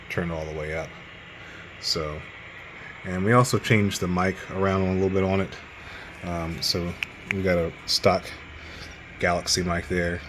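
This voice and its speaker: A man's voice: sits low, background noise. A man giving a casual, rambling, unscripted hands-on radio gear review in a matter-of-fact way. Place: radio bench, indoors.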